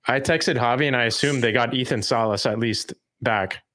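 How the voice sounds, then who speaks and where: An American man in casual sports podcast chat, speaking haltingly and repeating words as he goes. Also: medium pitch, fairly bright tone. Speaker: podcast host, baseball fan.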